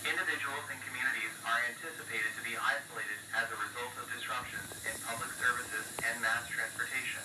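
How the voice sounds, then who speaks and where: A stern, impersonal emergency alert announcer, acted by a man reads a formal scripted warning. The mid-pitched voice echoes as if away from the mic, under clear hiss. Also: steady tone, fairly quick, few pauses, lightly acted. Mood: stern, ominous. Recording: hissy, echoey, distant broadcast sound.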